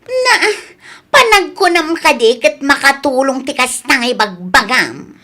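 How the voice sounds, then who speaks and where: A high-pitched young woman played by an actress with a Filipino accent, fussy and demanding. She whines and sounds haughty, in the broad style of a radio comedy-drama. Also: animated, a little slow, bright, forceful tone, exaggerated voice. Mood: complaining. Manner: whiny, haughty, indignant.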